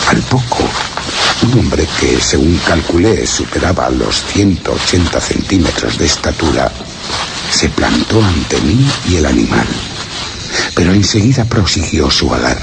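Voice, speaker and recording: A man playing a solemn, anguished explorer who narrates a horror radio play in grave, measured, literary phrases. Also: rumbling low, gravelly, full character voice. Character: solemn, anguished male explorer recounting grim discoveries. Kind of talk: dramatic radio play narration, first-person horror tale. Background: music.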